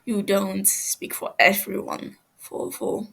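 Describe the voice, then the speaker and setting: A woman as a slow, cold, menacing male interrogator. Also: medium pitch, level tone, gravelly, a bit bright, breathy edge, full character voice.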